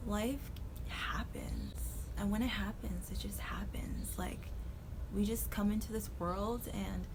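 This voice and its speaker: Young woman in her twenties, raspy gravelly voice, rambling reflective vlog talk, noisy home recording. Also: mid-range voice. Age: 20s. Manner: rambling, thoughtful, drifts into whispery asides and laughs. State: raspy voice today. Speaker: vlogger. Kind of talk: reflective casual talk to camera.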